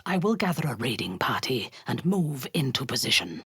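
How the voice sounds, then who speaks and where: An actress voicing a ruthless drow woman commander who leads zealous raids, speaking in a cold, commanding voice with a husky edge that often sinks into a whisper. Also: extremely low pitch, big pitch swings, normal speed, no room sound, clean studio sound, exaggerated voice. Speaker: game character. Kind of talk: video game voice lines.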